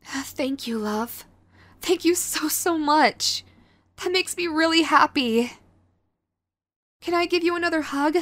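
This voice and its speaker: A very high-voiced, clingy yandere girlfriend, acted by a woman in an intimate audio roleplay. She speaks slowly and hesitantly, sweet and remorseful as she pleads with the listener. The sound is close and dry. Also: a bit bright, no room sound. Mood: affectionate. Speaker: voice actor.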